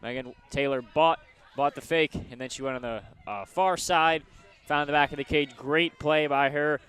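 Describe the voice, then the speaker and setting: Clear-voiced male color commentator, slightly high. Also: steady tone, moderate pace, fairly bright tone. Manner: conversational, explanatory. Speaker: radio color commentator for college lacrosse. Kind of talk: live sports analysis between plays.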